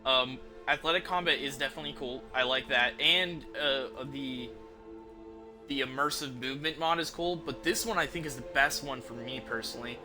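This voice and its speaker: A man hosts a livestream in a medium-pitched voice. He talks off the cuff to his viewers, rambling informally as he explains things. Music plays clearly behind him. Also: normal speed. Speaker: livestream host, gamer. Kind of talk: casual unscripted chat to stream viewers.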